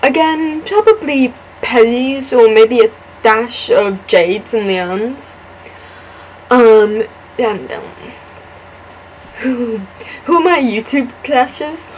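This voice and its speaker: A young woman vlogging at home, chatting about herself into a camera mic with a slightly high voice. She takes her time, pausing often and filling gaps with ums, and pokes fun at herself. A faint hiss sits under the recording. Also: lively intonation, rough recording. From born in Singapore, grew up in the southwest US. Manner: chatty and self-deprecating, slow with many pauses and ums. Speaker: vlogger in a fan collab channel. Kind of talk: casual chat answering questions to camera.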